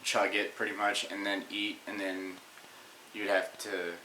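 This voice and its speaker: A young man in his twenties speaks from a little behind the webcam, so his voice comes through roomy and open. He talks in a relaxed, dry way and often restarts his sentences. A faint hiss runs under it. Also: fairly low pitch, measured pace. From Texas. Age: 20s. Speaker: vlogger's husband. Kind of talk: casual chat. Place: home room indoors, behind the webcam. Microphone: webcam, sitting back. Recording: roomy sound with faint noise.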